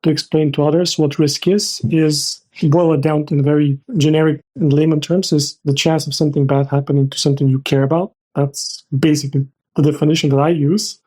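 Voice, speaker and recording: A middle-aged man speaking as an interview guest, explaining things in a measured, structured way with careful definitions. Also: a little high, flat delivery, darkish tone. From Bulgaria. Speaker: podcast guest, risk manager.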